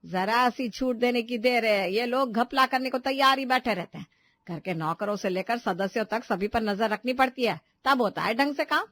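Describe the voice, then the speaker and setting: A woman's voice: fairly high pitch, moderate pace, fairly bright tone, lightly acted. A woman voicing a strict, suspicious household matriarch in a family story, scolding and domineering. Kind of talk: animated Hindi family story dialogue.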